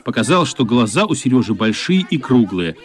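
A man narrating a radio play in an expressive, measured literary storytelling style. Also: medium pitch.

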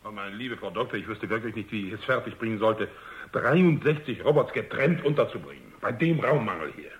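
Radio play officer: major general, formal and gallant, lowish husky voice, mildly baffled. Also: male voice, animated, a little fast, muffled recording. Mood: baffled, protesting. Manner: formal, courteous. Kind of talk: radio drama acting.

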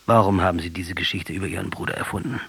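An actor playing a proud, defiant revolutionary commander in a German radio drama, challenging and argumentative in manner. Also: male voice, low-pitched, racing, vocal fry, a bit bright, breathy edge, full character voice. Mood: accusing.

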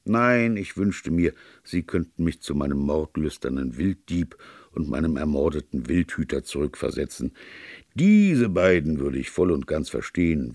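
A man voicing a calm, earnest priest detective who reasons at length in an audiobook. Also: very deep voice, creaky, slightly rough, no echo, studio quality, full character voice. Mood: earnest, arguing. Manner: even-tempered, sincere, discursive. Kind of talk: audiobook character dialogue.

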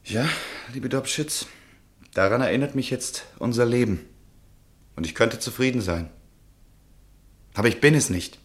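An actor playing an anxious, resigned nobleman and husband, speaking in earnest, measured, formal German radio drama style. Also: mid-range voice, natural voice. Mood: oppressed, urgent. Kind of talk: German radio play acting.

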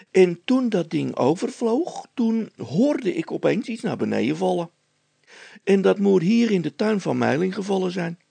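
A man's voice: sits high, gravelly, a little mellow, no room sound, pitched above the performer's own voice, full character voice. A man voicing a cocky young poacher boy in a story, cheeky, stubborn and boastful, with colloquial speech.

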